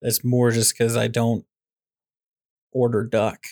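A man's voice: medium pitch, monotone, slow pace, darkish tone, no room sound, clean studio sound. A man co-hosting a podcast, chatting casually and teasing his co-host with sarcastic banter. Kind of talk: casual chat.

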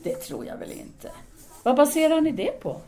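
A woman's voice: high voice, very animated, dark tone. An actress playing a composed, possessive intellectual French woman in an audio drama, formal and self-assured in her speech. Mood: dismissive.

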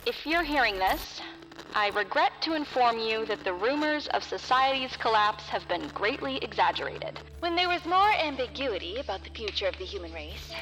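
An actress playing a wry, measured woman broadcasting from a collapsed future in a sci-fi audio drama. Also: fairly high pitch, big pitch swings, rough recording. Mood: wry resignation.

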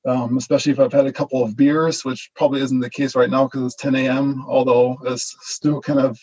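A man whose first language is German, with a mixed German-Canadian accent, giving a relaxed online lecture full of fillers and restarts. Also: mid-range voice, fairly quick, slightly rough, dull audio. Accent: German-Canadian mix. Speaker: guest lecturer, associate professor.

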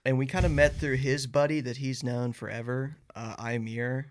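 A man in his early twenties, a band's singer, telling a story casually as a podcast guest, relaxed with lots of "like"s. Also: medium pitch, normal speed. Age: 20s. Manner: relaxed, filler-heavy, many "like"s.

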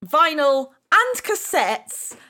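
A woman's voice: sits high, very animated, extremely slow, sharp tone. A woman co-hosting a podcast in a casual, chatty style. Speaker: podcast co-host.

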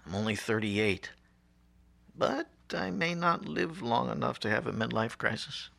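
A man's voice: medium pitch, animated, measured pace, vocal fry. An actor in a radio play playing an anxious, bewildered museum curator in his thirties, stammering and flustered. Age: 30s.

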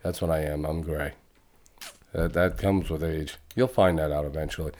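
A man's voice: very deep voice, fairly even intonation, normal speed, darkish tone. A man in his fifties, a podcast guest chatting casually with a joking, self-deprecating manner. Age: 50s. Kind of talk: casual chat.

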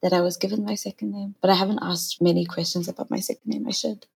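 A woman's voice: mid-range voice, fairly even intonation, fast, gravelly, breathy edge. A woman in her late twenties from South Africa, a native Sesotho speaker, answering interview questions about her life and poetry in a thoughtful, searching way. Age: 20s. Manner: thoughtful, searching for words, soft-spoken. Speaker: poet, interview guest.

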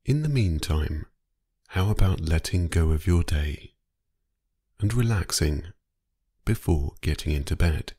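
Male sleep-meditation narrator, low-pitched and slightly husky, soothing and hypnotic, unhurried phrasing. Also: no echo, studio quality. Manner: calm, soothing, unhurried, with long pauses.

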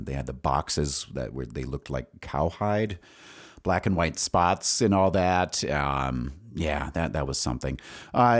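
A man in his forties with a deep voice talks as a podcast host in a loose solo monologue. His pitch moves around a lot as he rambles candidly, casual and unscripted. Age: 40s. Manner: rambling, candid, salty-tongued.

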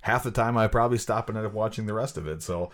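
A man's voice: lowish voice, fast, a crowd in the background. A man co-hosting a podcast, chatting casually about films in a loose, rambling way full of "like". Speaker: podcast co-host. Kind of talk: casual film discussion.

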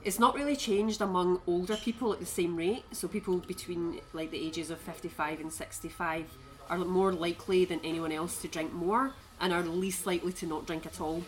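A woman co-hosting a podcast, talking through researched statistics in a measured, informative way. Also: fairly low pitch, fairly even intonation, rough recording. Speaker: podcast co-host. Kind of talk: casual chat with researched facts.

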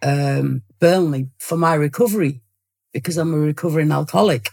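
A speaker in their fifties with a Yorkshire accent, chatting in a lively way as a podcast guest, often restarting sentences. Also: mid-range voice, fairly quick, gravelly. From Yorkshire, England. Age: 50s. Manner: lively, talkative, hesitant restarts. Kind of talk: casual chat.

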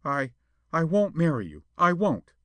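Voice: medium pitch, sing-song, moderate pace, a bit bright, dry recording, pitched above the performer's own voice. A man voicing a sheltered young woman in a storybook, frightened but defiant, earnest and pleading.

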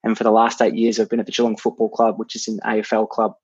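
A man with an Australian accent, a sports physio, describing his career in a flat, matter-of-fact way over a remote call. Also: fairly low pitch, fast talker, breathy edge, no echo, muffled recording.

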